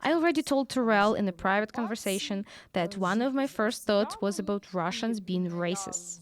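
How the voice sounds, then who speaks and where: A woman giving a lively, conversational first-person voice-over translation of an interview. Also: medium pitch, fast talker.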